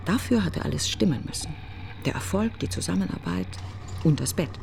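An actress playing a bitter, grieving middle-aged woman in a literary radio monologue, breathy and brooding, speaking in insistent fragments. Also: lowish voice, animated, brisk, a little mellow, slight background noise. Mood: wistful, intent.

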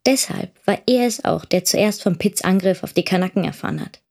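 An actress playing a wounded, plain-spoken young daughter in a radio drama monologue, with a husky, breathy, creaky voice and subdued manner. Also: female voice, medium pitch, lively intonation, a little fast, darkish tone. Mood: guarded, matter-of-fact. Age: young adult. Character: wounded, plain-spoken teenage daughter recounting her ordeal.

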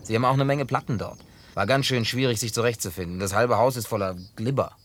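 A man's voice: mid-range voice, fast talker, a little hiss or hum, lightly acted. An actor playing a casual, evasive male survivor and companion in a German radio drama, coaxing in manner.

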